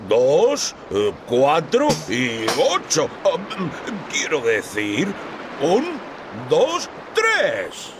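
Wildly animated bumbling sailor musician. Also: male voice, a little high, extremely slow, background noise, exaggerated voice. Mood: jolly, excited. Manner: exclamatory, bumbling. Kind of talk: animated video game acting. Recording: music behind the voice.

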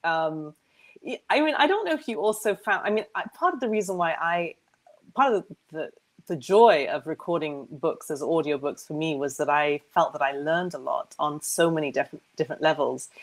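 Low-voiced woman interviewing on a video call. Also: lively intonation, normal speed. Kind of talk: casual video-call conversation. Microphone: wired earbuds.

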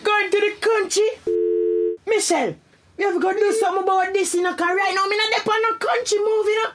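A man's voice: very high voice, quick pace. A man playing a brash, hot-headed young nephew in a radio drama, with a Jamaican accent. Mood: hot-headed, threatening.

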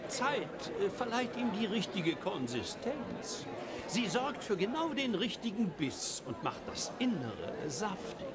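Sly smug game schemer, silky, theatrical, high-pitched, lively intonation. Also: male voice, slow pace, over loud music, exaggerated voice. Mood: smug, philosophising. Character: sly, smug stranger, a tempting male schemer. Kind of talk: dramatic game voice acting. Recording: loud crowd chatter behind.

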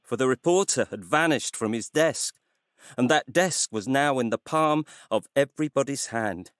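A man narrating a literary story in a measured, scripted way. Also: medium pitch, animated, no echo, clean studio sound.

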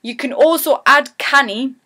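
A bright-toned woman speaking with a Geordie accent. She is chatty and rambling, and often corrects herself mid-sentence. She talks casually, like someone explaining her local words to viewers. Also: high-pitched, moderate pace. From Newcastle, England. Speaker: vlogger teaching dialect. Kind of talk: casual dialect lesson.

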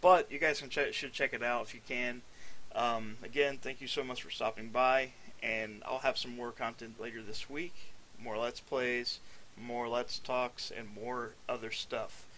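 A man's voice: medium pitch, fairly even intonation, a little slow, pushed and bright, slight background noise, poor audio. A young man giving casual let's play commentary while hosting from a small room. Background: game music and sound.

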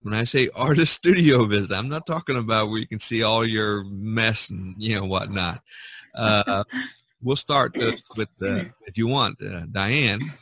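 A man's voice: on the low side, measured pace, fairly bright tone. A man hosting a casual podcast chat over a video call, rambling with many fillers and restarts. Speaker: podcast host.

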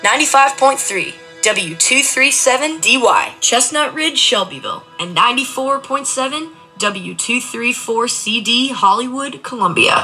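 A woman's voice: on the high side, animated, normal speed, few pauses, pushed and bright. A woman's synthetic voice reading out station identifications, played through a small speaker. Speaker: voice ID of a car radio. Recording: tinny speaker playback, music behind.

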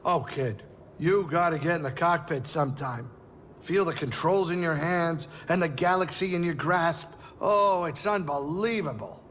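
An actor playing a small, grumbling, cocky alien starship pilot in a video game, with a blustery, fussy, chatty delivery. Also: male voice, a little high, normal speed, a bit bright, a little hiss or hum, natural voice. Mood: boastful, chummy. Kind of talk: comic-relief video game acting. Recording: reverberant, music behind the voice. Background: clear cinematic music.

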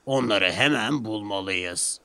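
A man's voice: lowish voice, slow pace, slight background noise, heavily acted. A man voicing a bossy, stout railway controller with a commanding manner in a children's cartoon story. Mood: angry. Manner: commanding, sharp. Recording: loud music behind the voice.

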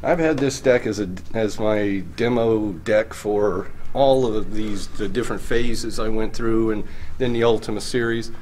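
A man's voice: fairly low pitch, steady tone, unhurried, darkish tone. A middle-aged man giving a casual walkthrough of gear as an exhibitor. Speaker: exhibitor presenting his audio gear. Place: hotel exhibit room at an audio show, indoors. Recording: noisy exhibit room with ambience.

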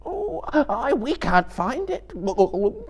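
A man voicing a wretched, pitiful creature that whines, hisses and sobs in a high raspy voice. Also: wildly swooping pitch, slow, full character voice. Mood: sobbing. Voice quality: high whining, rasping and hissing. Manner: sobbing, whimpering, shrieking in bursts. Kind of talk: audiobook character voice.

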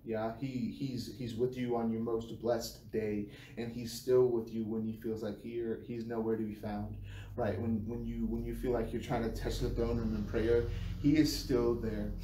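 A mellow-voiced American man speaks informally, like a church devotional on a livestream. He halts and doubles back on phrases. The sound is roomy and a bit distant from the phone, with faint noise. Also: mid-range voice. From United States. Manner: halting, repeats phrases as he goes. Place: small bare-walled room indoors. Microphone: phone camera a few feet away.

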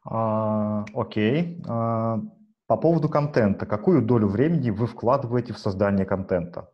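Host: man in his thirties, a little low and husky, moderates and reads questions over a muffled video call. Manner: brisk, filler-heavy moderating. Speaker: interview host. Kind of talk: reading viewer comments on a live stream. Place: home room, plain wall. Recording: dull lo-fi video call audio.

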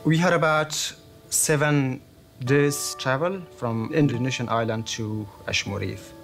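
A man in his thirties from Afghanistan recounting his journey in a documentary interview, plain and thoughtful with pauses. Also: medium pitch, fairly even intonation, vocal fry, faint music behind. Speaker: interviewee, refugee. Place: studio interview set, indoors.

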